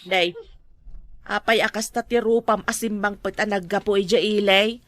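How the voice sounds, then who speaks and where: A woman's voice: medium pitch, fairly even intonation, moderate pace, a bit bright, no echo, lightly acted. A woman playing a fussy, scolding village mother.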